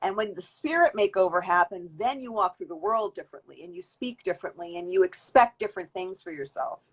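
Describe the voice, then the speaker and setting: A woman's voice: on the low side, lively intonation, a little fast, slightly rough, rough recording. A woman in her fifties, a guest in an interview, giving long reflective answers with frequent restarts. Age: 50s. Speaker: guest, beauty industry worker.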